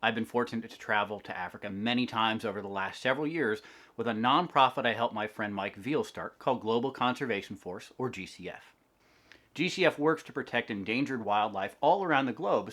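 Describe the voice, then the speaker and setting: A man giving an expressive, clearly phrased read-aloud of a children's storybook. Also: on the low side. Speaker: volunteer storybook reader for a wildlife nonprofit.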